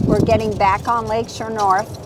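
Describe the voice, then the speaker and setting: A woman's voice: medium pitch, flat delivery, normal speed, background noise. A woman real estate agent giving a casual, friendly walking tour of a lakeside community outdoors, telling stories as she goes. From California. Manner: friendly, chatty storytelling. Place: outdoors on a lakeside golf course trail.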